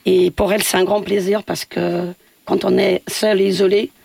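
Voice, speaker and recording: A woman's voice: mid-range voice, level tone, normal speed, gravelly, darkish tone, a little hiss or hum. A woman from Portugal, a building caretaker speaking as a radio interview guest, hesitant with many fillers and restarts.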